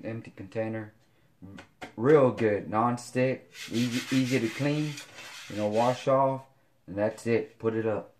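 A man, showing kitchen gear in a casual home video, talking haltingly with many fillers. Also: lowish voice, background noise. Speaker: fitness vlogger. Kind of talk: casual product demo. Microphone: camera on counter.

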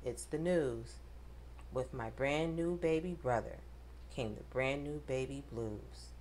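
A woman reading a rhyming picture book aloud to children, with expressive, dramatic emphasis. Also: very deep voice, slow pace, a little hiss or hum. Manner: addresses children directly, dramatic emphasis on rhymes.

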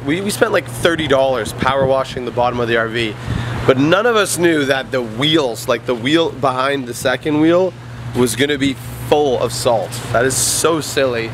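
A man's voice: mid-range voice, normal speed, background noise. A young man bantering casually to a handheld camera as a travel vlogger. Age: young adult. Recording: music behind outdoor camera talk. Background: clear music.

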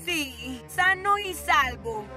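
High-pitched gloating boy villain. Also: animated, takes it slowly, over loud music. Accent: Latin American Spanish. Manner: theatrical, gloating. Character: gloating boy mad-scientist villain, smug and scheming. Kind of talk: animated children's cartoon dub.

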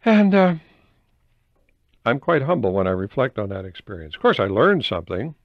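A man's voice: mid-range voice, sing-song, moderate pace, dry recording. An older man hosting a radio talk, telling humorous personal stories in a folksy way, repeating phrases for emphasis. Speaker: radio host and former professor. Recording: dull, lo-fi 1990s cassette radio recording.

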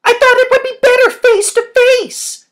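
An anxious teenage girl acted by a woman narrator pleads urgently in an audiobook story. Her voice sits far above the reader's usual pitch and is pushed loud, full of disbelief. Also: steady tone, normal speed. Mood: urgent, alarmed.

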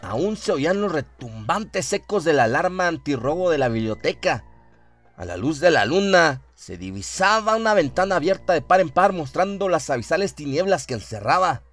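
A man's voice: a little high, very animated, moderate pace, fairly bright tone, faint music behind. A man reading scripted horror fiction as the dramatic narrator of a radio play.

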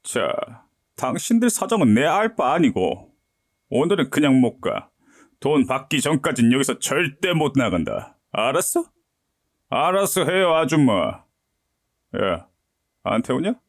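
A man's voice: mid-range voice, very animated, a little slow, long pauses, dry recording, studio quality. A man playing a curt, threatening male loan shark boss in an audio drama. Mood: menacing.

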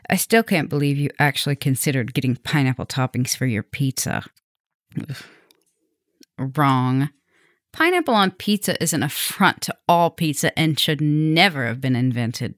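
Woman playing a flirty, low-voiced vampire professor. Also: no room sound, clean studio sound. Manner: playful, teasing, affectionate, chatty. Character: flirty, teasing female vampire professor on a date. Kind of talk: intimate ASMR roleplay addressed to the listener.

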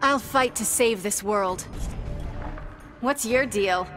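A woman's voice: on the high side, slow pace, a bit bright, faint music behind. A woman playing a plucky young superheroine in a video game, confident, flippant and defiant. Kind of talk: video game character acting.

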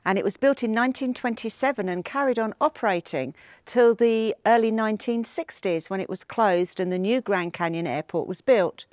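A woman's voice: medium pitch, no room sound, clean studio sound. Curious woman on a site walk. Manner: chatty, curious, wondering aloud. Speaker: travel vlogger. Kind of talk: casual travel narration.

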